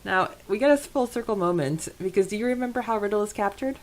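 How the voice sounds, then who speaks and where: A woman's voice: medium pitch, fast talker, low-quality recording. A woman co-hosting a podcast, talking through a recap in a casual, conversational way. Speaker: podcast co-host.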